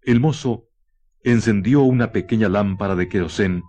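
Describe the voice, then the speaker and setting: A Chilean man narrating a scripted radio drama in a solemn, literary manner with measured phrasing. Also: fairly low pitch, mellow. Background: music.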